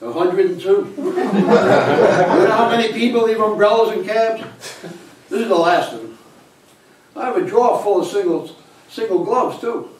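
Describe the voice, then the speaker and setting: A man's voice: high voice, expressive, normal speed, pauses often, crowd noise. Echoey stubborn old grandfather. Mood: matter-of-fact, reminiscing. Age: older. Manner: gruff, defensive, matter-of-fact. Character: stubborn, cantankerous 85-year-old grandfather, immigrant former cabbie. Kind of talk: live stage acting. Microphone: far camera in the audience. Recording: echoey small theater, distant camera, faint hiss.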